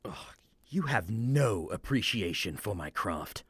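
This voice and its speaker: Evil cult cleric, low, breathy husk, slow, menacing, theatrical, fantasy audio drama. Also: male voice, very animated, a bit bright, dry recording, studio quality, heavily acted. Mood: irritated. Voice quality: very husky, breathy. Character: sinister male necromancer cleric, gloating, devoted cultist.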